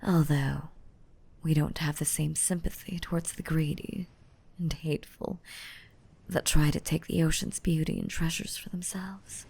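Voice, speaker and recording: A woman voicing a gentle, nurturing mermaid in a roleplay ASMR audio drama, soothing and doting with a breathy, whispery delivery. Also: sits low, steady tone, measured pace, exaggerated voice. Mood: calm, reassuring. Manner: soothing, doting, uses pet names. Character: gentle, nurturing mermaid rescuing a drowning human.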